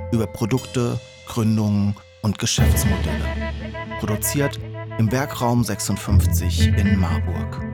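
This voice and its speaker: A man hosting a regional business podcast, asking questions in a relaxed, conversational way. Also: medium pitch. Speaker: podcast host.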